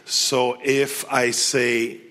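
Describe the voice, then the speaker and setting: A man's voice: mid-range voice, little pitch movement, pushed and bright. A man whose first language is French, speaking English with a Québécois accent as a guest speaker to a church gathering, joking and restarting his sentences. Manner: joking, self-deprecating, halting restarts. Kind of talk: casual talk to a church gathering.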